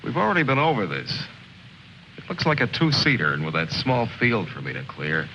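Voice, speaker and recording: An actor playing a pragmatic, impatient military leader in an old film drama, curt and exasperated. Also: male voice, medium pitch, sing-song, normal speed, bright, forceful tone. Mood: firm, weary. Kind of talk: mid-century American film drama acting. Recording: muffled, noisy old film soundtrack.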